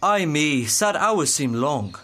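A man's voice: a little high, sing-song, slow, bright, forceful tone, exaggerated voice. A man playing a lovesick, moping young nobleman in a student Shakespeare audio play.

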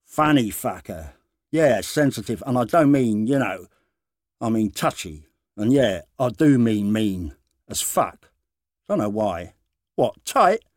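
A man's voice: fairly low pitch, sing-song, slow pace, no echo, studio quality, full character voice. Man acting a blunt, mocking building-site workmate. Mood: mocking banter. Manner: blunt, clipped, bantering. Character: gossiping, foul-mouthed male building-site workmate, grudging and mocking. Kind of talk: dramatised short-story dialogue.

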